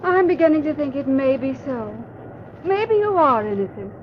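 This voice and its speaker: Arch teasing young dame, plucky, higher-pitched, very animated, dull noisy old radio recording. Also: female voice, moderate pace. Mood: coy teasing. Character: plucky coy woman reporter posing as a thrill-seeking socialite. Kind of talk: 1940s radio crime drama acting.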